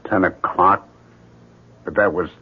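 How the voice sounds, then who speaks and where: A paranoid jealous husband type, husky, brooding and accusing, old muffled radio play sound. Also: male voice, deep voice, fairly even intonation, slow, exaggerated voice. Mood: wary, suspicious. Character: paranoid jealous man unraveling into menace, distrusting everyone. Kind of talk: 1970s radio drama acting. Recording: dull lo-fi 1970s radio recording.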